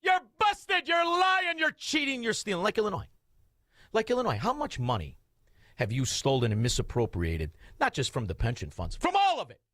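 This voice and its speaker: A man, hosting talk radio in a combative, mocking rant with shouted outbursts. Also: high-pitched, huge pitch swings, moderate pace, dry recording, studio quality. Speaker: radio talk show host.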